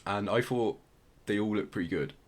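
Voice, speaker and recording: A man's voice: fairly low pitch, moderate pace. A man hosting a podcast, explaining things in a casual, rambling way with plenty of hedging. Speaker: podcast host.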